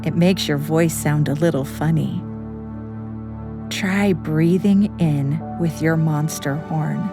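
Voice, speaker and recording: Female bedtime narrator for kids; gentle, expressive delivery at a very leisurely pace, music bed. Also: low-pitched, takes it slowly. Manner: gentle, expressive, addressing young children. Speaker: children's storyteller and host. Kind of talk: bedtime story narration with guided breathing.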